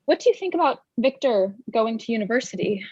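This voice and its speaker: A yearning, bookish young woman, played by an actress in a staged drama reading. Her fairly high voice is husky, with a defensive edge. The recording is dry and a little dull. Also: animated, brisk, dark tone, no echo. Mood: curious, dreamy.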